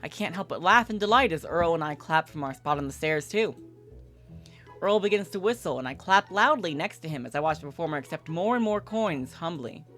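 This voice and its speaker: A woman's voice: low-pitched, lively intonation, a little fast, fairly bright tone, soft background music. A woman livestreamer reading a visual novel aloud and commenting casually.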